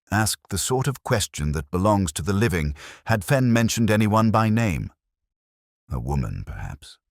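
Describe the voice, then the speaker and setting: A man playing a weary, brooding police inspector who narrates a mystery in a measured, literary, melancholy manner. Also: a bass voice, breathy edge, dry recording, clean studio sound, heavily acted. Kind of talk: scripted first-person mystery narration.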